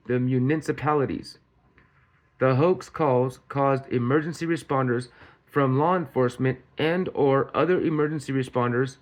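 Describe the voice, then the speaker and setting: A man with a medium-pitched voice presents news as an informal commentator. He reads aloud in a casual manner, sometimes stumbling, repeating and fixing his words as he goes. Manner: stumbles over words while reading, repeating and correcting himself. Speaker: host of a commentary channel reading news.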